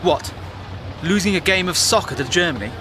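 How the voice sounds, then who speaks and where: An outspoken English war reporter of the 1910s in a radio play. He is cynical, wry and plain-spoken, pitched high for a man, with obvious car noise in the background. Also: expressive, a little fast. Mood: sceptical. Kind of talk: audio drama acting. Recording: noisy moving-car ambience behind.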